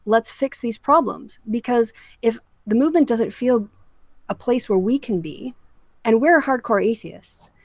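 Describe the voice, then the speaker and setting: A woman's voice: on the high side, unhurried, darkish tone, dry recording. A woman hosting a casual podcast discussion, speaking articulately and explaining her points with asides. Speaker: show host; political scientist.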